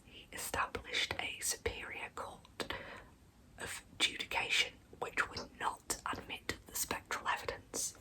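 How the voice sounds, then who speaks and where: Whispering woman, bright ASMR storyteller. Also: poor audio. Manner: hushed, whispering throughout. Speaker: ASMR video host.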